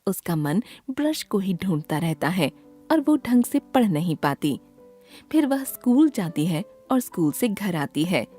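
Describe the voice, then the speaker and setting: Animated, husky woman storyteller. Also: on the low side, a little slow, faint music behind. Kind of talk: scripted children's story narration.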